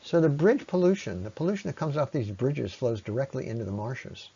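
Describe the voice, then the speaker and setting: A man giving an explanatory slide presentation as a lecturer, often pausing mid-sentence as he explains. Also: mid-range voice, very animated, warm tone, breathy edge, lo-fi sound.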